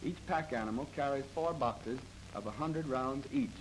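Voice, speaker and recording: A noisy old film soundtrack from the 1930s carries an American man reading scripted military instruction. His voice sits at a medium pitch, and his delivery is formal, measured and matter-of-fact, with some noise around the words. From United States. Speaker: military training film narrator.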